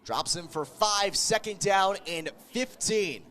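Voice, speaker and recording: A man with a high-pitched voice gives live radio play-by-play of American football. He speaks as the announcer, following each movement on the field in short, vivid, clipped phrases. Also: expressive, takes it slowly, pushed and bright. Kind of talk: live sports play-by-play commentary.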